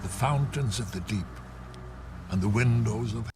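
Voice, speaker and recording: A man narrating scripture from a script as an audio Bible, solemn, formal and measured. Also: on the low side, noisy background. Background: music under the narration.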